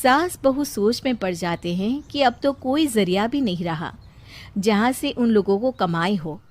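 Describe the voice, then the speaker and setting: A woman's voice: mid-range voice, animated, unhurried. A woman reading scripted narration for a cartoon story.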